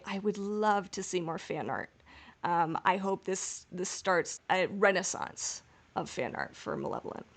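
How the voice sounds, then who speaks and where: A woman's voice: medium pitch, fairly bright tone. A woman co-hosting a casual fan podcast, gushing and repeating words for emphasis. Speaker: podcast co-host.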